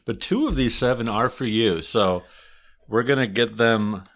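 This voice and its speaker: A man's voice: mid-range voice, measured pace, a bit bright. A man with a Wisconsin accent, hosting a podcast in casual chat, thoughtful and a bit hesitant, with frequent restarts. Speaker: podcast host and historian.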